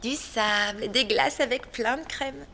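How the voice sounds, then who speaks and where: Bright, high-voiced cartoon hospital lady. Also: unhurried, gravelly, slight background noise, heavily acted. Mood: cheerful. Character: adult female animal hospital worker, gentle and independent. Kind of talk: French children's cartoon dubbing.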